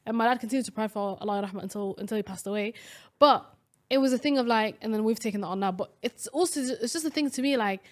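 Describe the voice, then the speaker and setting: A woman's voice: a little high, fast. A young woman from Somalia co-hosting a casual podcast chat, talking in a chatty way with many fillers and restarts. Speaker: podcast co-host.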